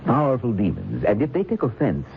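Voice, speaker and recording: An actor in an old radio drama playing a menacing, self-assured occult author with formal, deliberate, condescending speech. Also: male voice, medium pitch, huge pitch swings, gravelly. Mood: ominous, lecturing. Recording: muffled, noisy old radio broadcast.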